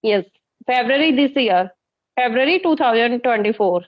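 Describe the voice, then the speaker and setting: A woman from northern India with an Indian accent and Hindi as her first language, chatting as a teacher and host, often pausing on fillers. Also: a little high, lively intonation, dull audio. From Haryana, northern India. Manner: hesitant, many uh fillers. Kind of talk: casual conversation practice.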